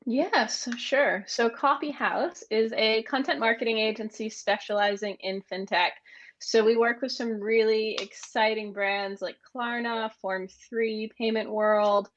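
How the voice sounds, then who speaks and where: A woman's voice: a little high, fairly even intonation, a little slow, lo-fi sound, slight background noise. A woman from the United States with an American accent, answering conversationally as an interview guest. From United States (Maine). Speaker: interview guest, agency managing director.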